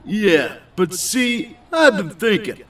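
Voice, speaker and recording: A man's voice: high-pitched, very animated, slow pace, a bit bright, far from the mic, exaggerated voice. A man playing a hot-headed, vengeful young bully in an audio drama, taunting and cowardly underneath. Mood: bitter, taunting. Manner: taunting, aggrieved.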